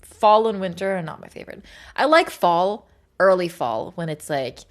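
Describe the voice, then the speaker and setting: Animated woman chatting on a livestream. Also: lowish voice, normal speed. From Sweden. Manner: informal, filler-heavy, frequent swearing. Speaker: livestream host. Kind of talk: casual rambling chat with livestream viewers.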